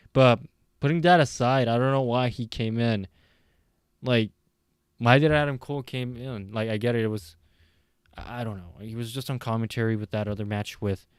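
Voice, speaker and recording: A man's voice: mid-range voice, smooth, no room sound, clean studio sound. A man giving a casual, rambling and hesitant recap with his opinions as a reviewer, his voice a bit tired from late-night talking. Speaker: wrestling show reviewer.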